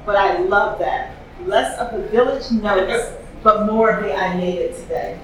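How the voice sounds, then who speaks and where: A woman's voice: a little high, a bit bright, a little hiss or hum. Chatty woman hosting a tasting. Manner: chatty, enthusiastic, asks the group questions. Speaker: host leading a wine tasting. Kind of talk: casual tasting chat. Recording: echoey room with faint ambience.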